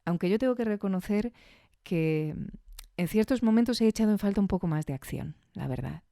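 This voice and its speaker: A woman's voice: mid-range voice, expressive, a little fast, vocal fry, mellow, no echo, studio quality. A woman with an accent from Spain hosting a podcast in a conversational way, with chatty asides and tag questions. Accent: Spanish from Spain. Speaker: podcast host. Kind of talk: casual scripted podcast review.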